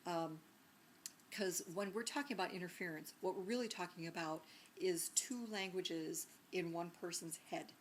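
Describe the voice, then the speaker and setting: A woman's voice: lowish voice, moderate pace, a little hiss or hum. A woman teacher giving a recorded lecture in a conversational way, with many ums and restarts and frequent rhetorical questions.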